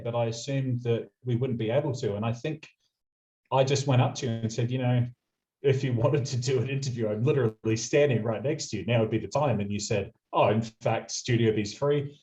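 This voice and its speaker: Chatty Australian man, mellow muffled sound. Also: medium pitch. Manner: quick anecdotal storyteller, chatty. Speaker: radio presenter, guest. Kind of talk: casual storytelling interview. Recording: muffled lo-fi audio.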